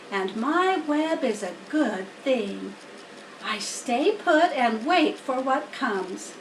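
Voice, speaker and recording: Storybook spider, acted by a woman: female, wise, plain-spoken, instructive, high voice, roomy distant recording. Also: heavily acted. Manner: matter-of-fact, instructive. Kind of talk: children's storybook character reading. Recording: roomy, distant mic, faint noise.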